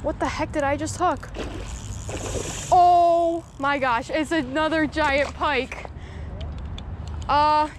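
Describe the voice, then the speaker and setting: A woman in her twenties giving excited live commentary while fishing at a creek, gushing with exclamations, recorded on an action camera outdoors. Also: high-pitched, slow pace, pauses often. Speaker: fishing vlogger. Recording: outdoor camera mic with water noise. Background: flowing water.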